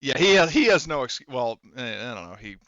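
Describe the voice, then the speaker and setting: A man running a tabletop roleplaying game casually as game master, talking in a halting, rambling way. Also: medium pitch, very animated, a bit bright, dry recording, dull audio.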